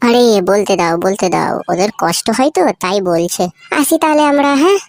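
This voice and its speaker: A woman's voice: medium pitch, sing-song, brisk. A woman voicing a pushy male village politician in a cartoon, wheedling and crude as he begs for votes. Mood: coaxing. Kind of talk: cartoon comedy voice acting. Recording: noisy homemade recording.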